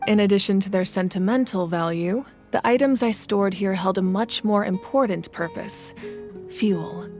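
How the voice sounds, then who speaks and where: A woman's voice: mid-range voice, a little mellow, lightly acted. Woman playing a composed warrior leader, music behind. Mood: composed, serious. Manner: measured, formal, articulate. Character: composed female warrior leader, calm, reassuring, wise, resolute. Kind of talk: video game voice acting.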